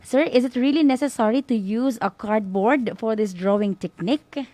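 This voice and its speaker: A woman's voice: on the high side, moderate pace, warm tone, dry recording. A woman with a Filipino accent hosting a radio school program, politely and informally relaying audience questions with hesitant phrasing.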